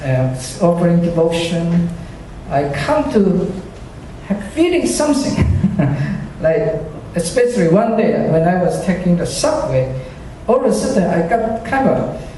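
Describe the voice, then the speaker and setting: Slow, high-voiced man in echoey hall. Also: big pitch swings, mellow, a little hiss or hum. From China. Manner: hesitant, many fillers, chuckles often, self-deprecating. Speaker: speaker giving a personal faith testimony. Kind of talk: church testimony to a congregation. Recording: echoey hall, speaker far from mic.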